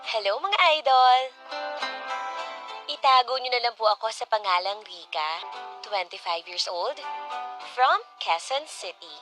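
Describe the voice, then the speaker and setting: A woman's voice: medium pitch, measured pace, pauses often, music in the background, natural voice. A woman playing a devoted, protective 25-year-old daughter in a radio drama, speaking confidingly. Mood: wistful, confiding. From Philippines. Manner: confiding, addresses listeners directly. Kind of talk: Tagalog radio drama narration and acting.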